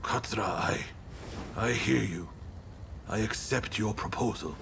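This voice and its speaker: An actor playing a proud, guarded samurai clan leader in a video game, stern and formal in manner. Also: male voice, on the low side, a little slow, gravelly, noisy background. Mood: solemn. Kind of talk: video game cutscene acting.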